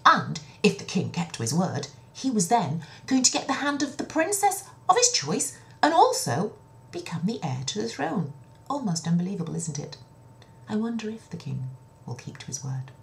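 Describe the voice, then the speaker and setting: A woman telling a children's story in an expressive, dramatic way, with breathy dips toward a whisper and a chatty, confiding touch. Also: mid-range voice, moderate pace, poor audio. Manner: chatty asides to the listener. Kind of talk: children's story narration, told in own words.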